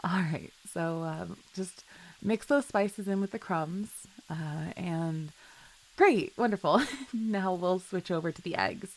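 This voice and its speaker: A woman's voice: lowish voice, slow, rough recording. A woman playing a chill, flirty best friend with a secret crush in an intimate audio roleplay, speaking in a giggly, hesitant, affectionate way. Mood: flustered, playful. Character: chill, flirty female best friend shyly confessing love. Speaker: voice actor.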